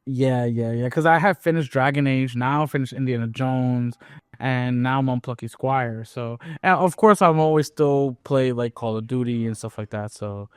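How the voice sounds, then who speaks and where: A man's voice: mid-range voice, steady tone, moderate pace, smooth, dry recording, studio quality. A man co-hosting a podcast, talking in an easygoing, hesitant way with many fillers and restarts. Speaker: podcast co-host. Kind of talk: casual chat.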